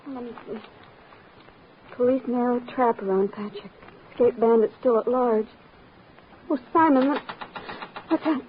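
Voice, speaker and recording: Worried, protective young female lead, played by an actress, soft pleading delivery, old radio drama, dulled lo-fi sound. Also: on the high side, measured pace, pauses often, lightly acted. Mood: anxious. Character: anxious, protective young sister, tender and pleading. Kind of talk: 1940s-50s radio drama acting. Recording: muffled, noisy old-time radio recording.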